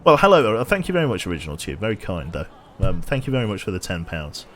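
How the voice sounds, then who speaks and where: Low-voiced man streaming a game. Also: big pitch swings, fast talker, fairly bright tone, a little hiss or hum. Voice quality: slightly nasal, a bit raspy. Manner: chatty, thinks aloud, thanks viewers. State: a little tired. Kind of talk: casual gameplay commentary.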